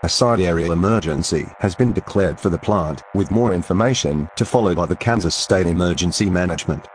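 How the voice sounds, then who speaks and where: A man playing a serious local radio news announcer, reading scripted bulletins in a measured, formal way. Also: low-pitched, close to an everyday voice. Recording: noisy broadcast with hum.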